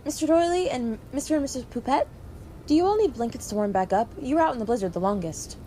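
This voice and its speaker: Woman acting a lively lodge hostess, high voice. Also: fast, a little hiss or hum. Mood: welcoming. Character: bossy, hospitable woman keeper of a snowbound lodge. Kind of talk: amateur puppet show acting.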